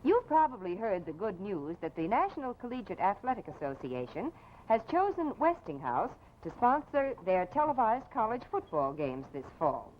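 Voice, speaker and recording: A woman's voice: medium pitch, very animated, normal speed. A woman announcer reading a scripted 1950s broadcast commercial in a polished, persuasive way. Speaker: advertising announcer. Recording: dull vintage broadcast with faint noise.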